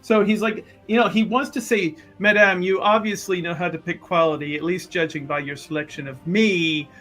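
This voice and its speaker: Higher-pitched man, halting and rephrasing. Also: steady tone, fairly bright tone, faint music behind. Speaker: player in a tabletop roleplaying game. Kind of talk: casual in-character explanation at the game table.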